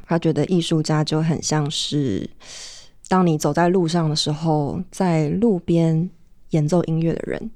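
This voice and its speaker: A woman with a Taiwanese Mandarin accent telling stories in a casual, reflective chat, thoughtful and unhurried. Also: low-pitched, steady tone, darkish tone. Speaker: stage actor, podcast guest.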